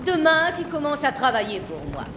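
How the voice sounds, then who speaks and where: A woman's voice: high-pitched, sing-song, normal speed, very noisy. A woman playing a cold, bossy, stern schoolmistress in a French cartoon dub. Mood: cold, commanding. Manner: stern, commanding. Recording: music playing behind the voice.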